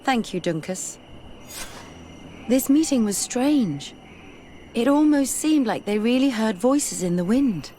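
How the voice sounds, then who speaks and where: A woman's voice: on the high side, animated, slow pace, pauses often, warm tone, a little hiss or hum. A woman acting a curious, determined, skeptical scholar in a video game, speaking thoughtfully and articulately.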